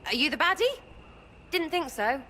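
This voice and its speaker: A woman's voice: high-pitched, big pitch swings, moderate pace, bright, forceful tone, a little hiss or hum, exaggerated voice. A woman voicing a cheeky, irreverent young thief archer in a video game cutscene, brash and crude in her speech. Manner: brash, mocking, slangy and crude.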